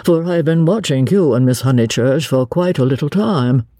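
A reader voicing an officious male clergyman in an audiobook story, formal, measured and condescending. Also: mid-range voice, animated, dark tone, no echo, studio quality. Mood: bland. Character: bland, officious English clergyman, disapproving and courteous.

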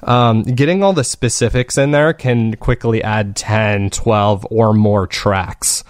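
A man's voice: on the low side, slow, clear, resonant tone, dry recording, studio quality. A man speaking conversationally as an interviewed podcast creator, explaining his process in a thoughtful, casually phrased way.

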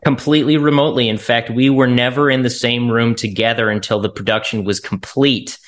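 A man's voice: mid-range voice, steady tone, fairly quick. A man, an actor being interviewed, explaining things in a casual, straightforward way.

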